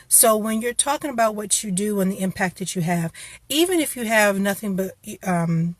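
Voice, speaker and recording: An encouraging woman gives career advice in a relaxed, chatty way, often stopping to rephrase. Her voice sits in a middle range. The sound is dry and close, with little room echo. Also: medium pitch. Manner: encouraging, conversational, with many restarts. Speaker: career coach host. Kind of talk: casual motivational talk to camera.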